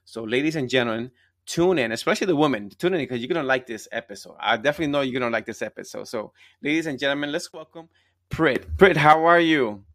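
A man's voice: mid-range voice, fast talker, a bit bright, dry recording, studio quality. A man hosting a live interview podcast, speaking enthusiastically and emphatically, repeating himself and checking in with tag questions. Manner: repetitive, emphatic, often tags phrases with "right?". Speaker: podcast host.

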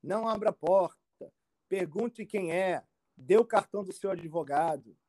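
A man with a Brazilian accent answering informally as an expert, halting and repetitive, often checking he is understood. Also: high voice, a little mellow, dry recording. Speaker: immigration expert being interviewed. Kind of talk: informal explanatory interview answers.

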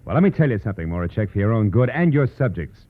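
A man's voice: lowish voice, very animated, very fast. A man playing a tough, wisecracking private detective in a 1940s radio drama, with a brusque, slangy, sardonic delivery. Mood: tough, confrontational. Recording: muffled old radio recording with music.

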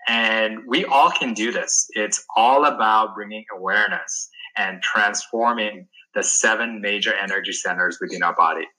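Guest: man from Sri Lanka, low voice with a very bright edge, earnest and emphatic. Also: moderate pace, few pauses, poor audio. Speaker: guest, wellness coach. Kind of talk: interview answers.

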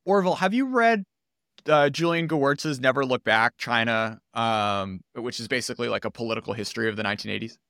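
A man's voice: medium pitch, brisk, a bit bright, dry recording, clean studio sound. A man hosting an interview podcast in a casual, informal way, rephrasing his thoughts as he goes.

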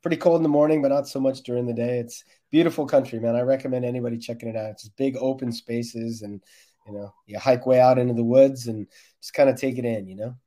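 A man's voice: mid-range voice, steady tone, fast talker, dark tone. A man who coaches soccer, answering interview questions in a conversational, explanatory way.

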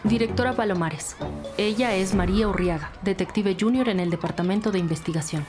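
A woman's voice: medium pitch, fairly quick, natural voice. A woman playing a senior police investigator in an audio drama, methodical and businesslike, with official phrasing and music behind. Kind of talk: scripted audio drama dialogue.

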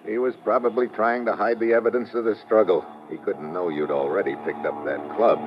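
An actor playing a tough, wry adventure hero in vintage radio drama, with clipped, slangy delivery and first-person narration. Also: male voice, fairly low pitch, fairly even intonation, fairly quick, close to an everyday voice. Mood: hushed, tense. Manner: clipped, hard-boiled, slangy. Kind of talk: 1940s-50s radio adventure acting. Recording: dull old radio recording with music.

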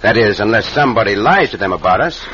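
A dull vintage radio western recording. a gallant Mexican cowboy hero, confident and courteous, with a Mexican accent. His voice is quick and forceful, his phrasing formal and sprinkled with Spanish. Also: fairly low pitch, expressive. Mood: calm, defiant. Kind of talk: old-time radio western acting. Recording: muffled vintage radio with faint hiss.

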